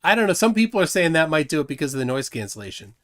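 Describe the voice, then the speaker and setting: A man's voice: fairly high pitch, big pitch swings, rapid-fire, fairly bright tone. A man hosting a casual livestream, reading out viewer comments and laughing often. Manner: chatty, reads chat comments aloud, laughs often. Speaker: livestream host.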